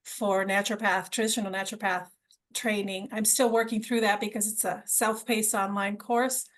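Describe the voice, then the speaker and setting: A woman's voice: mid-range voice, flat delivery, moderate pace, fairly bright tone. Enthusiastic Argentine-born woman guest. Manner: enthusiastic, repeats words as she restarts. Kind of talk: conversational interview storytelling.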